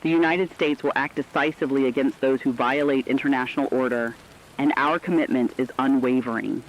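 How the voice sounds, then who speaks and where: A man playing a grave radio correspondent, reading war news in a solemn, forceful, declamatory scripted style. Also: medium pitch, fairly even intonation, a little fast, muffled recording, slight background noise, natural voice. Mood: defiant, resolute. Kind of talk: scripted dramatic news broadcast.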